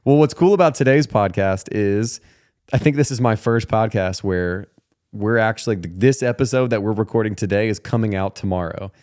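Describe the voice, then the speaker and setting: A man in his thirties with a fairly low-pitched voice hosts a podcast. He talks informally and easily, with slangy, conversational phrasing. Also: moderate pace, a little mellow. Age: 30s. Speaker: podcast host. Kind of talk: casual podcast chat.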